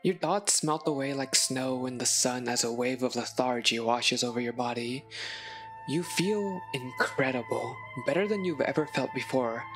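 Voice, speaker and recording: A woman narrating a scripted second-person story in a measured way, with dramatic pauses. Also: extremely low pitch, over music. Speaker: narrator, audio roleplay voice performer.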